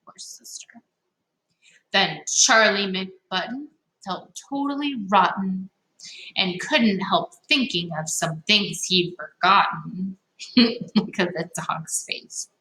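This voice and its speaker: A woman reading a storybook aloud to children, with expressive, dramatic emphasis and playful asides. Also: medium pitch, slow pace. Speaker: read-aloud host for children. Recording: echoey, roomy sound.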